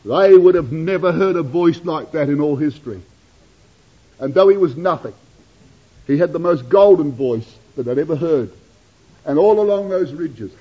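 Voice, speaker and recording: A man preaching a sermon to his congregation, addressing them as brethren and sisters and swinging his delivery from loud declamation to hushed asides. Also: slightly rough. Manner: dramatic rises and drops in pitch and loudness. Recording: dull lo-fi sermon recording.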